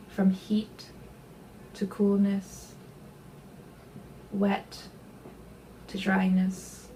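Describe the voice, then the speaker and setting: Soft, mellow woman guiding meditation. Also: medium pitch, vocal fry, slight background noise, rough recording. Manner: gentle, soothing, unhurried instruction with pauses. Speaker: meditation guide. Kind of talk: guided body scan meditation.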